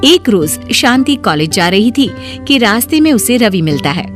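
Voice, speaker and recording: A woman narrates a moral story with animated, swinging intonation at medium pitch. Plucked guitar music plays clearly behind her. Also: moderate pace.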